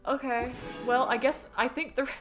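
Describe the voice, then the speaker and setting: A woman from Canada, chatting excitably and rambling while she live-streams a game. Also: high-pitched, moderate pace, music in the background.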